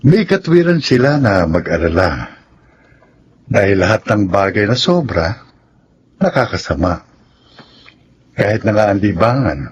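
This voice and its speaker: An actor in a Filipino radio drama playing a reasonable, reassuring psychiatrist advising a couple. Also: male voice, on the low side, big pitch swings, unhurried, long pauses, breathy edge, exaggerated voice. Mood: measured, reasoning. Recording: dull sound with faint noise.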